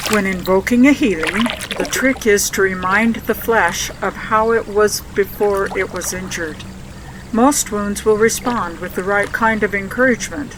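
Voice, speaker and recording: A woman playing an older, demanding but encouraging mage mentor in an audio drama, speaking in a measured, instructive way. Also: mid-range voice, background noise, natural voice. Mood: solemn, teaching.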